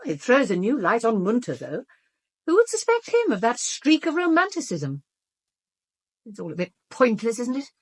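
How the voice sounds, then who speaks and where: An actress playing a sceptical, worldly guest in an audio drama, dry and mocking. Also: female voice, on the high side, huge pitch swings, moderate pace, breathy edge, exaggerated voice.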